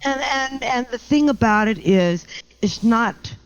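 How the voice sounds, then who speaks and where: Middle-aged woman, low voice, chatty podcast guest who jokes at her own expense; dry, slightly dull recording. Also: expressive, unhurried, no echo. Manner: chatty and self-joking, with many restarts. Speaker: guest, house painter. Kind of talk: casual podcast interview.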